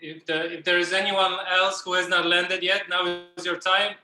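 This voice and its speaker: Man with a very bright voice leading a workshop. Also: sits high, level tone, moderate pace, faint music behind. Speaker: workshop facilitator. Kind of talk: leading an improvisational theatre workshop. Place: indoors on a video call. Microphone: computer video call.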